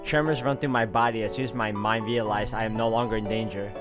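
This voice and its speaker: A man's voice: fairly low pitch, steady tone, quick pace, over music. A man playing through a visual novel, reading its narration aloud dramatically and adding casual asides. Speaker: game walkthrough player.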